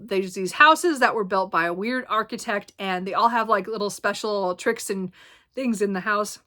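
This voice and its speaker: Bright-voiced woman rambling about books. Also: medium pitch. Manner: rambling, many ums and self-corrections. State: slightly hoarse, clearing throat. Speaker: book vlogger. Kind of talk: casual book haul chat.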